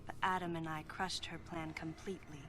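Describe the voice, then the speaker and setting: Actress as introspective woman soldier, lowish voice, solemn game narration, measured delivery, clear musical backing. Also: little pitch movement, natural voice. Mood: somber. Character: pensive woman soldier narrating her memories. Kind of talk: scripted dramatic game narration. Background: cinematic game music.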